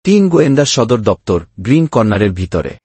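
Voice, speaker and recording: A woman with a husky voice narrating a scripted story as its storyteller. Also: a bass voice, very animated, fast talker, dark tone, no room sound.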